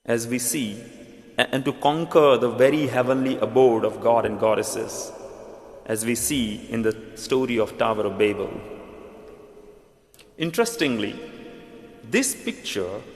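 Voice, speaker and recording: A man with an Indian accent delivers a sermon in an earnest, measured way, his voice sitting in a middle pitch. The sound rings out through a large church hall with a long, echoing reverb. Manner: earnest, measured preaching with restarts. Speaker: preacher.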